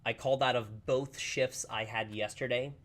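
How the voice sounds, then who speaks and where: A man streaming a game in casual, rambling chat, slightly hoarse as he recovers from a lost voice. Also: mid-range voice, steady tone, normal speed, fairly bright tone. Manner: chatty, upbeat, rambling with many fillers. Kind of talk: casual livestream chat while playing.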